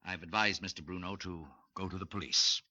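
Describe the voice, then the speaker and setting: A probing, measured psychiatrist. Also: male voice, low voice, fairly even intonation, bright, forceful tone, no room sound. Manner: measured, questioning, lecturing. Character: calm, probing male psychiatrist, middle-aged. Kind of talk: 1940s radio acting. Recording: dull lo-fi vintage radio recording.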